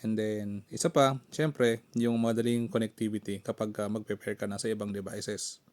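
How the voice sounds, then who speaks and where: A man's voice: fairly low pitch, fairly even intonation, moderate pace, darkish tone. A man giving a casual, conversational product review, talking through each step as he demonstrates. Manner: conversational, narrates actions step by step. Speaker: motorcycle gear reviewer and content creator. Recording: clear background noise, room ambience.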